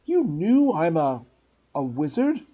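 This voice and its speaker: A man's voice: on the high side, sing-song, slow pace, pitched above the performer's own voice, exaggerated voice. A man voicing a bewildered young boy who asks hesitant questions. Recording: dull lo-fi recording.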